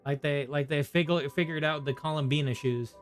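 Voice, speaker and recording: A man's voice: a little high, steady tone, brisk. A man giving casual gameplay commentary as a streamer, rambling and often repeating himself. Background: faint game music.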